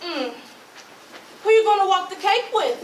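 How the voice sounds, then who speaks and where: A woman acting a sassy, jealous, sharp-tongued woman in a stage play, with a scolding manner. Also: very high voice, expressive, moderate pace. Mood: chatty. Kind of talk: readers theatre stage acting. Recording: echoey, distant, noisy ambience.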